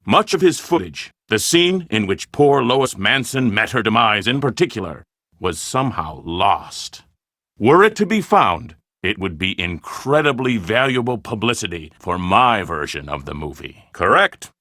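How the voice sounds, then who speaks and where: Theatrical, scheming film producer, animated delivery. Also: male voice, lowish voice, measured pace, no room sound, clean studio sound, full character voice. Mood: grandly persuasive. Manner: formal, theatrical, emphatic. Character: scheming, self-important male film producer desperate for publicity. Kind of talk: video game voice acting.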